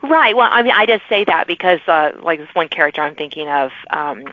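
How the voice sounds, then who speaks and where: A woman with a lowish voice hosts a podcast and chats in a loose, halting way that is full of restarts. The sound is dull and lo-fi, with a dry, close feel. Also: expressive, dry recording. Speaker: podcast host. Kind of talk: casual interview chat.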